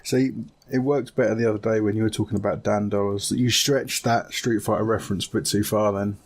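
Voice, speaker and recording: A man's voice: fairly low pitch, fairly even intonation, a little fast. A man, talking casually as a panelist on a podcast. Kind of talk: casual panel chat about films.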